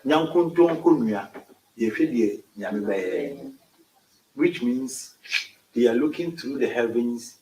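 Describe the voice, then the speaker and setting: A man slowly explaining, recorded at a distance in an echoey room. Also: medium pitch, lively intonation, dark tone, a little hiss or hum.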